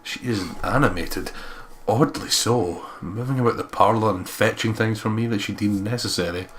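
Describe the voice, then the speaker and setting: A man with a fairly low voice hosts a let's play. He reads story narration aloud, drops in joking commentary, and has a faint layer of noise behind him. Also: normal speed. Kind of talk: reading a visual novel aloud with joking asides.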